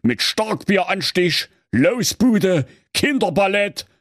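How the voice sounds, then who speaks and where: A bored, whining Saxon king, played by a male narrator, drawling high complaints from a slouch. Close, dry sound. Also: sing-song, takes it slowly, a bit bright, dry recording, full character voice. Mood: whiny. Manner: whiny, grumbling. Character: bored lazy grumbling king, middle-aged man.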